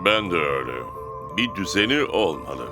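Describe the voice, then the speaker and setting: A man's voice: low voice, sing-song, normal speed, music in the background. A man playing a big, stern, no-nonsense football coach in a cartoon. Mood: grave. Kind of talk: cartoon dialogue.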